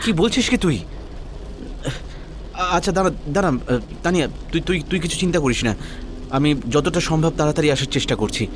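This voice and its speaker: A man's voice: on the high side, expressive, fairly quick, pauses often, noisy background, voice pushed up, close to an everyday voice. A man playing a concerned young friend in an audio story, flustered but reassuring, stammering and repeating words urgently. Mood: alarmed, reassuring.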